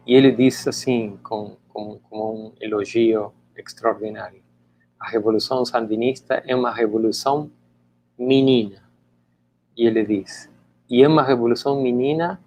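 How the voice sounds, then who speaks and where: A man's voice: medium pitch, creaky, a little mellow, slight background noise. A middle-aged man whose native language is Spanish, talking reflectively in an interview with many hesitant restarts. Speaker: interviewee, author.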